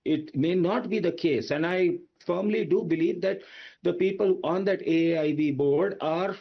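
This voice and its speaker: Man, Indian accent, expert panelist, emphatic and argumentative, higher-than-average pitch, dull band-limited audio. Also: normal speed, creaky. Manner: emphatic, argumentative, with rhetorical questions. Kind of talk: spoken analysis on a news debate.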